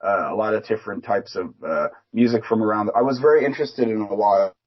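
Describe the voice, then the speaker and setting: Guest musician, man from Philadelphia, lowish voice, rambling and filler-heavy, muffled lo-fi sound. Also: fast. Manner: rambling, many fillers and restarts. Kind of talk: casual interview chat. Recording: dull lo-fi remote audio.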